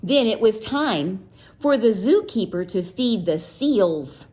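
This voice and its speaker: A woman teacher reading a picture book aloud to young children, pausing to ask them questions in a playful, sing-song way. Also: medium pitch, animated, a little mellow. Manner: slow and deliberate, pausing for answers.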